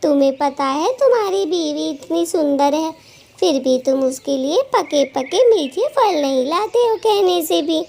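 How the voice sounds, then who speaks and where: Woman as scolding bird wife for kids' cartoon. Very high voice, bossy, taunting, theatrical. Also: animated, measured pace, creaky, mellow, a little hiss or hum, heavily acted. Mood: nagging, sulky. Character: bossy nagging female bird, quick-tempered wife. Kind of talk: children's cartoon story dialogue.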